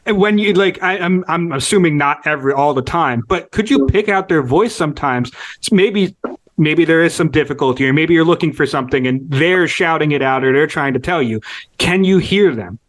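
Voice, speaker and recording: A man's voice: a little high, brisk, no room sound. A man hosting a podcast, asking long, curious, rambling questions in a casual interview. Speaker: podcast host.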